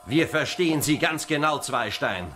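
Brave hero, lively, slightly high. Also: male voice, normal speed. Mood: defiant. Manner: direct, defiant. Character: brave, defiant young male hero. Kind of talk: radio play acting.

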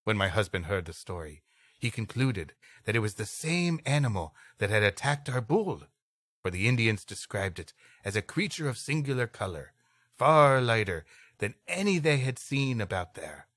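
A man with a bright, forceful, medium-pitched voice and animated delivery. He co-hosts a comedy podcast, switching between storytelling and casual banter. The recording is dry and close. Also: moderate pace, dry recording. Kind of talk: reading an old story aloud, with casual joking banter.

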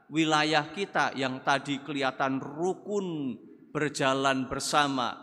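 An older male priest preaches slowly from a pulpit in a large church, his bright, forceful voice carrying a long reverb. He talks in a measured, chatty way, then lifts into emphatic bursts. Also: mid-range voice. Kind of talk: sermon at a thanksgiving Mass. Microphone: gooseneck pulpit mic.

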